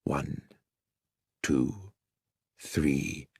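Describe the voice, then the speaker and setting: A man reading a detective audiobook as a dramatic first-person narrator, expressive and full of suspense. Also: extremely low pitch, very slow, vocal fry, breathy edge, dry recording, clean studio sound.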